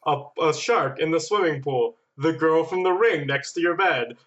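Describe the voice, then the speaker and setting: Man, slightly high pitch, casual storytelling, animated, self-deprecating humor. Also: normal speed, dull audio. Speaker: podcast guest and editor.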